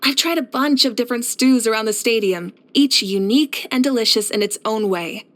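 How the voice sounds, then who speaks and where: Woman playing a poised, high-voiced warrior leader. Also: fairly quick, close to an everyday voice. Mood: friendly. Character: confident, warm young woman warrior leader, a fire archon. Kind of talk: scripted video game character voice lines.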